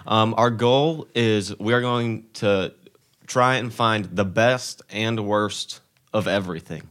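A young American man of eighteen with a fairly low voice. He acts as host in a casual group podcast, talking informally. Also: slow, fairly bright tone. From Tennessee, United States.

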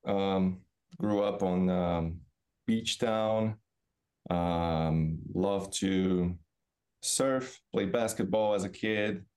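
A middle-aged man from Brazil with a Brazilian accent, a native Portuguese speaker, giving thoughtful, halting explanations as an interview guest. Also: sits low, darkish tone. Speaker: podcast guest, business professor. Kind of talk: reflective interview answers.

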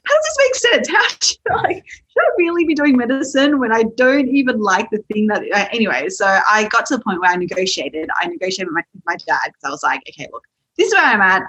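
Chatty Australian woman, husky voice. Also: medium pitch, very animated, a bit bright, rough recording. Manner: chatty, laughs often, quick asides. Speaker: guest. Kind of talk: podcast interview.

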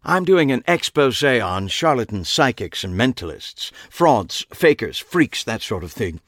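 A man's voice: medium pitch, very animated, a little slow, no room sound, studio quality, pitched above the performer's own voice. A man voicing a tall, eccentric, disdainful British journalist in an audiobook, with an affected, pompous accent. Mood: smooth, pompous.

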